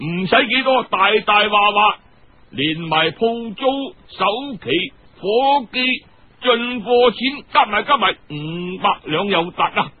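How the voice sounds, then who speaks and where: A man's voice: sits high, lively intonation, slow, phone-quality sound, voice pushed up, full character voice. A man voicing a keen older uncle with a Cantonese accent, coaxing his nephew in colloquial, emphatic speech. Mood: persuasive. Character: keen, persuasive older uncle pitching business.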